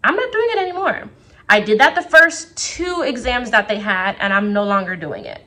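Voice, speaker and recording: A young woman teacher talking casually to the camera from her classroom, her voice a little raspy as it recovers, chatty and full of quick asides. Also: on the high side, expressive, sharp tone. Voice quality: slightly raspy. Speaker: second grade teacher.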